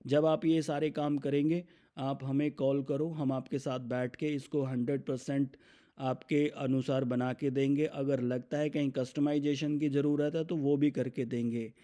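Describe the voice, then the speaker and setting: Indian guy doing a software demo, mid pitch, soft mellow tone, explains stuff with english terms mixed in. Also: level tone, normal speed. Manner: explanatory, mixes English terms into his talk. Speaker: software demonstrator for his company. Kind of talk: unscripted product demo walkthrough.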